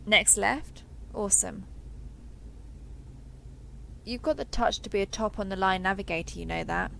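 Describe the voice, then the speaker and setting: A woman's voice: medium pitch, moderate pace, creaky, bright, forceful tone, a little hiss or hum. A woman playing a friendly, chatty giant transforming robot soldier in an audio roleplay, joking and reassuring as she talks to the listener. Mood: earnest, chatty.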